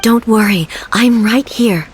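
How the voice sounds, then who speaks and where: An actress playing a composed goddess ruler in a video game, speaking in a measured, formal and solemn way. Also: female voice, a little high. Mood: calm reassurance. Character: solemn, composed female deity ruler, the Shogun. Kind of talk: video game dramatic acting. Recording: faint noise under game audio.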